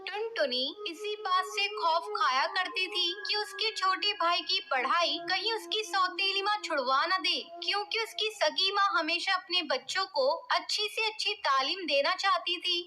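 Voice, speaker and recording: Female cartoon narrator, very high, plain storytelling. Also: moderate pace, hardly any pauses.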